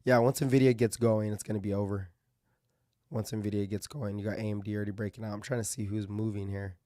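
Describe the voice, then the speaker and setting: A man hosting casual market commentary, thinking aloud with fillers and restarts, his voice going a little hoarse as he clears his throat. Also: lowish voice, little pitch movement, fast, warm tone, dry recording, clean studio sound.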